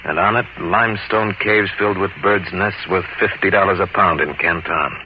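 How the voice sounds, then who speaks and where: A man's voice: sits low, fairly even intonation, moderate pace, lightly acted. Bold scheming ship's captain, male actor, swooping delivery, vintage radio drama, dull recording with some hiss. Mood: confident. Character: bold scheming sea captain of a trading brig. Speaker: lead actor and narrator. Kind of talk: old-time radio adventure acting. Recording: muffled, noisy old radio recording.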